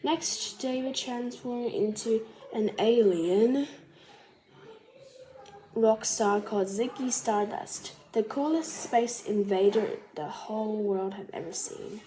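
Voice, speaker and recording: A woman reading a children's biography aloud like a storybook, carefully and a little haltingly. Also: a little high, dark tone, poor audio. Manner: careful, halting reading with stumbles and self-corrections. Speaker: storybook reader.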